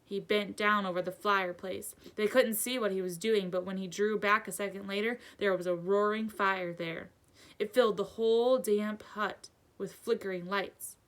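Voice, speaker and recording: Bright-voiced woman reading a storybook. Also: mid-range voice, fairly even intonation, normal speed. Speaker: teacher reading aloud. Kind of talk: expressive children's storybook narration.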